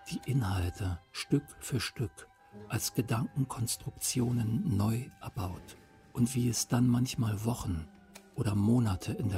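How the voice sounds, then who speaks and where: A man, a composer, narrating his thoughts on his work in a measured, reflective way. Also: mid-range voice. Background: music.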